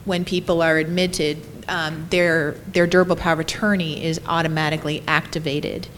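Low-voiced woman explaining memory care. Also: level tone, moderate pace, fairly bright tone, a little hiss or hum. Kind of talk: panel discussion.

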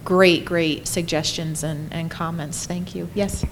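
A woman speaking as a panel presenter, answering audience questions in a halting way with pauses mid-sentence. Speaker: panel presenter, care staff leader. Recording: steady loud background noise, low rumble.

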